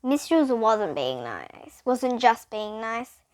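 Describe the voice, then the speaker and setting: A woman's voice: on the high side, sing-song, takes it slowly, a little mellow. A female reader narrating a children's storybook aloud, with occasional explanatory asides.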